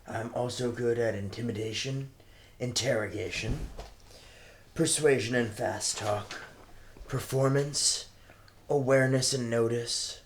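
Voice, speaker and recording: A man player introducing his tabletop character in a slow, measured, dramatic delivery. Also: medium pitch, a little hiss or hum.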